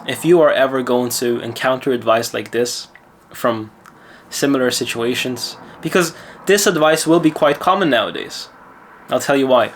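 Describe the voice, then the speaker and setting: A man from Lithuania who speaks with an Irish accent, his voice sitting at an ordinary middle pitch. He tells a story casually and then shifts into confident, lecturing advice as a mindset coach. A faint ambient hum sits under his voice. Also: moderate pace, fairly bright tone. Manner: chatty, self-assured, lecturing.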